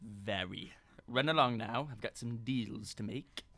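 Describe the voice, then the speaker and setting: A young man playing a shifty, smooth-talking schemer in a student radio comedy. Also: on the low side, measured pace, fairly bright tone. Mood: sly, furtive. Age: young adult.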